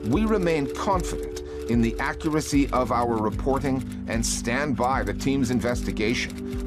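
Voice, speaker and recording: A man presenting a scripted news segment in a measured, wry way. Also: medium pitch. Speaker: host, presenter. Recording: music bed under voice.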